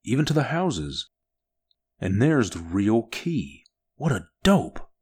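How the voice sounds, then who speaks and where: A man's voice: medium pitch, big pitch swings, slow, a little mellow, breathy edge, voice pushed up, full character voice. A man voicing a tense male agent in an audiobook, snapping out urgent orders. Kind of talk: audiobook character voice.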